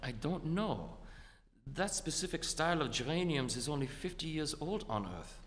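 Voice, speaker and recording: A man playing a wry, inquisitive space expedition doctor in a radio play, speaking in a measured way on stage. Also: a little high, expressive, fairly bright tone. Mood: curious, calm. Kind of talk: old-time radio play stage acting. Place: theatre stage before an audience. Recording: noisy, reverberant theatre hall.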